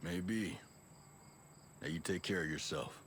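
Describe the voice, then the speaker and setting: An actor playing a calm special forces soldier in a video game, low-key, reassuring and businesslike. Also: male voice, takes it slowly, a little hiss or hum. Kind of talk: video game cutscene acting.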